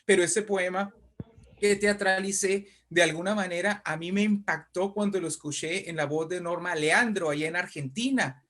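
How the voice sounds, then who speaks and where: A man with a fairly high voice speaks as an interview guest. He is a writer telling literary anecdotes conversationally, effusive and emphatic, often repeating his words for weight. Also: moderate pace, fairly bright tone.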